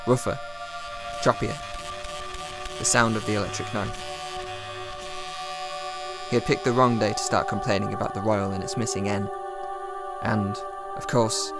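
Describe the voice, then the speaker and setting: A man giving an intense, deliberate dramatic reading of a story, in the style of a radio audiobook. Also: mid-range voice. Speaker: dramatic reader. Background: music under the voice.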